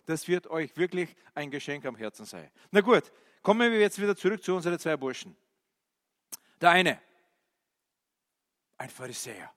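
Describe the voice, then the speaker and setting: A male preacher, a non-native German speaker, giving an animated sermon with many questions to his listeners and hesitant fillers. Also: sits high. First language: not German. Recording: reverberant hall.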